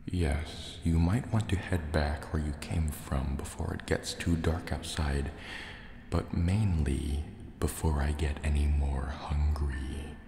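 A man voicing a huge, proud, wary sea serpent dragon in a soft-spoken, menacing ASMR roleplay, deliberate and a little hesitant. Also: rumbling low, fairly even intonation, creaky, a little hiss or hum, heavily acted. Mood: bewildered, guarded. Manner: slow, deliberate, threatening yet hesitant. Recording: roomy cave reverb, faint water ambience. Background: water sounds and cave ambience.

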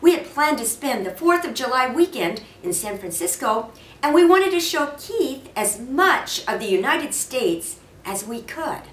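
Weary storybook mother, critical and swooping high, played by a woman reader, roomy sound. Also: very animated, measured pace. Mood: protesting. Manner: emphatic, complaining. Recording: roomy, distant mic with faint noise.

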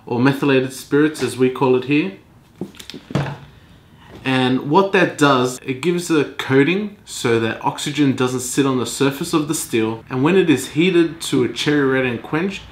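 A man's voice: mid-range voice, slight background noise. Congested man in a roomy workshop. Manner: relaxed, explanatory, talking to camera. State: congested from a cold. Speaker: hobby watchmaker vlogger. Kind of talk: casual workshop vlog. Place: home workshop, indoors.

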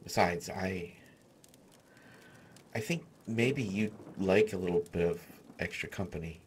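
A man playing a gentle, awkward human artificer in a fantasy audio roleplay, stammering and hesitant as he speaks. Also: deep voice, unhurried, a little hiss or hum. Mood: apologetic, hesitant. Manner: stammering, hesitant, soft-spoken reassurance.

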